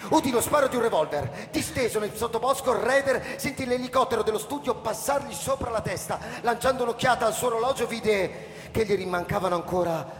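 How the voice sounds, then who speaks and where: A man narrating a scripted story in a theatrical, suspenseful and strongly expressive way. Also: very high voice, brisk. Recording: echoey hall reverb, crowd noise behind.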